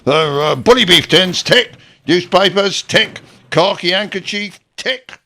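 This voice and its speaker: An actor playing a chirpy, gruff working-class father and war veteran in a radio play, with blunt, teasing, colloquial talk. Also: high voice, unhurried, sharp tone, full character voice. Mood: busy, brisk.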